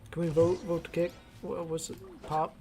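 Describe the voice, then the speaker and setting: A man's voice: on the high side, completely flat delivery, moderate pace, darkish tone, soft background music. A man giving casual, slangy, irreverent commentary while he plays a video game. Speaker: game streamer. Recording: noisy background.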